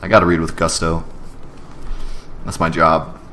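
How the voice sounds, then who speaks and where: A man's voice: low-pitched, level tone, unhurried, sharp tone, a little hiss or hum. A man streaming a game playthrough, reading its dialogue aloud with gusto. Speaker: game streamer voicing every character of a story game. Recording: music behind the voice. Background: music.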